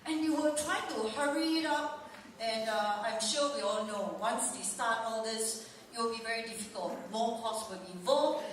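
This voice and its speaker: A woman's voice: fairly high pitch, normal speed. A Malaysian woman speaking as a residents' representative at a public townhall, earnest and roundabout as she puts questions to an official. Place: large indoor hall at a public meeting. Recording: very echoey hall, distant, faint noise.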